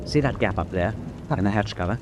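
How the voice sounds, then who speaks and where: An actor playing a knowledgeable British merchant sailor held prisoner in a wartime radio drama, plain and explanatory in manner. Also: male voice, on the low side, fairly quick, noisy background, close to an everyday voice. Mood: explaining, sober. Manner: matter-of-fact, explanatory.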